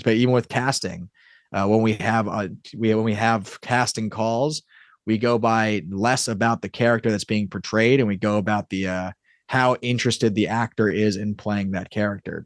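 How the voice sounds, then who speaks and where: A man's voice: on the low side, fairly even intonation, moderate pace, dry recording, studio quality. A man speaking casually and explaining things as an interview guest who creates audio drama.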